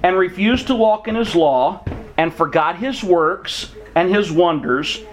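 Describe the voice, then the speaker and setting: A man's voice: high voice, unhurried, poor audio. Earnest man preaching a sermon. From Mexico. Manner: earnest, encouraging, reads scripture aloud. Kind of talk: sermon to missionary kids.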